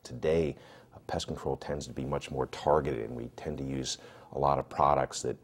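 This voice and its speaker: A middle-aged man with a gravelly voice, speaking as a pest control expert in a documentary interview. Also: rumbling low, fairly even intonation, moderate pace. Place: indoors, seated by a fireplace. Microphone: lapel. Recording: music behind the voice.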